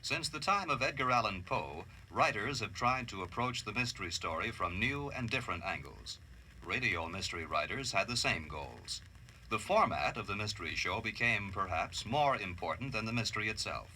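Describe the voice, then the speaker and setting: An American man narrating a scripted radio history documentary in a formal, measured, dramatic way. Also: lowish voice, lively intonation, sharp tone, slight background noise.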